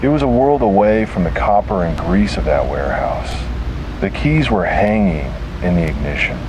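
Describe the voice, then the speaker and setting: A man's voice: low-pitched, lively intonation, normal speed, noisy background. A man reading a scripted first-person horror story in a grave, dramatic way.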